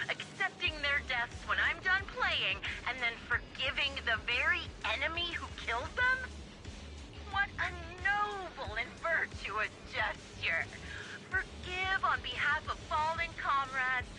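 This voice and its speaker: An actress playing a vengeful, unhinged female sniper villain in a video game, sneering and theatrical with bitter mockery. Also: poor audio. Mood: bitter sarcasm. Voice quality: high, swooping voice. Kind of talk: dramatic video game voice acting.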